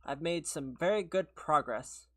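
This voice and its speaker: A man's voice: a little high, a little slow, sharp tone. A man who is a little sick and sniffly, chatting casually on a game livestream, rambling and laughing as he answers viewers. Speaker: streamer. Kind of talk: casual livestream chat while gaming, replying to viewers.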